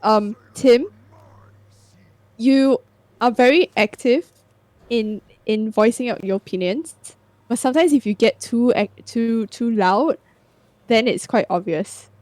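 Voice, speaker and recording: A woman with a slightly scratchy voice, co-hosting a podcast in casual, halting chat full of restarts. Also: on the high side, darkish tone, dry recording. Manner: rambling, halting restarts, losing her train of thought.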